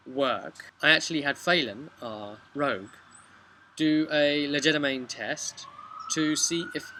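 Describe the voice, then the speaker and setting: A young man casually recapping a tabletop game as game master, halting at times and emphatic, recorded outdoors. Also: fairly high pitch. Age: young adult. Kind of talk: casual vlog storytelling. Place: outdoors on a bench by a brick house. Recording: outdoor webcam with background noise.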